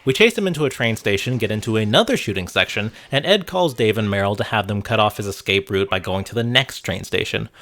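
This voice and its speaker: A man's voice: fairly low pitch, lively intonation, quick pace, hardly any pauses, fairly bright tone. A man giving scripted commentary on a video game in a conversational, sarcastic way. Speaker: video essay narrator.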